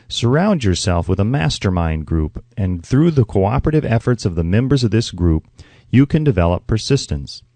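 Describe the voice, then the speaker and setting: A man reading a self-help audiobook in a measured, deliberate, instructive way. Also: lowish voice, sing-song, mellow, no room sound. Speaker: audiobook narrator. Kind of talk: scripted narration of a self-help book.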